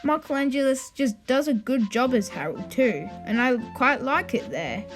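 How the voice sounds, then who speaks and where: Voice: sits high, animated, moderate pace, faint music behind. A video host giving informal opinion commentary in a ranking video, with conversational asides.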